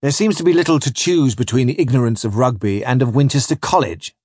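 A man's voice: mid-range voice, a little fast, darkish tone, breathy edge, dry recording, studio quality, natural voice. A man voicing a pompous, scholarly, bad-tempered ship's captain in an audiobook story, pedantic and declamatory. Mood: condescending, pedantic. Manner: pedantic, declamatory, quoting Latin.

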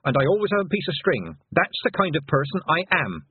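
A man's voice: high-pitched, huge pitch swings, fast talker, slightly rough, dry recording, phone-quality sound, voice pushed up, full character voice. A man voicing an earnest, logical young girl in a storybook, with a precise, assertive, pedantic manner. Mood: proud, insistent.

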